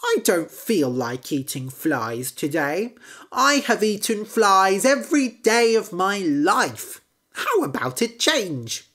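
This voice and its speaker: A woman voicing a curious, picky little male frog who talks brightly. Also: medium pitch, sing-song, takes it slowly, voice pushed up, full character voice. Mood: restless.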